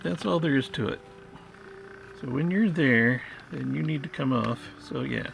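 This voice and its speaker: Man, heavy vocal fry, unhurried pace, casual workbench muttering, hobby repairer talking to himself. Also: mid-range voice, fairly bright tone, a little hiss or hum, rough recording. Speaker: hobbyist repairer of vintage tape recorders. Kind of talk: casual thinking-aloud workbench chat.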